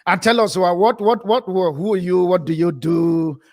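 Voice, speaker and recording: A man's voice: sits high, fairly even intonation, moderate pace, no echo, clean studio sound. A man hosting a live online interview, informal and stumbling, often repeating words as he searches for what to say. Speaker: host.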